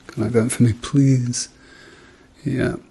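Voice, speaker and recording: A middle-aged man doing a softly spoken ASMR role-play as a doctor, murmuring reassurance to a patient. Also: medium pitch, fairly even intonation, measured pace, vocal fry, breathy edge. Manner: reassuring, murmured repeated encouragement.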